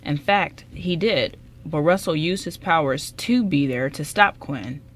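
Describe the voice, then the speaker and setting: A woman's voice: low-pitched, animated, a little slow. A woman reading scripted narration for an amateur radio drama as its narrator.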